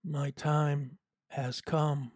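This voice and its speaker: A man playing a gentle, fatherly old mouse who is dying, slow and weary, in an audio drama. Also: on the high side, level tone. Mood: weary, tender. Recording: loud music under the voice.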